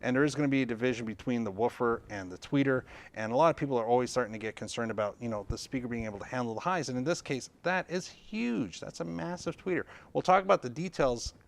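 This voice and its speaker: A man's voice: mid-range voice, fast talker, creaky, few pauses. A man giving an informal, explanatory product demonstration in a conversational, instructional way, repeating points for emphasis. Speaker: product reviewer and presenter.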